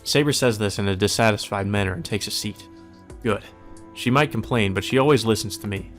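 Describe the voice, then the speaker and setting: A man's voice: lowish voice, brisk. A man reading a visual novel aloud as a let's player, mixing plain narration with casual, wry asides. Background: music.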